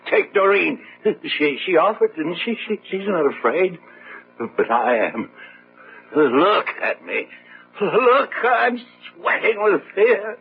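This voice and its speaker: A slightly muffled radio drama recording with faint music behind a high, ragged male voice. a craven father who stammers, pleads and repeats himself in terror of dying, his voice very husky. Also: very animated, slow, full character voice. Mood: panicked, pleading. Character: cowardly, selfish father, terrified of dying. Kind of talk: gothic radio drama acting.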